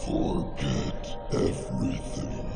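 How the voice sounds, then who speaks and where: An actor voicing a monstrous alien hive mind in a video game, guttural, growling and menacing, commanding a captive. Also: male voice, takes it slowly, lightly acted. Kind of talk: video game cutscene voice acting. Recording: echoing, distant voice under loud music. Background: loud dramatic music.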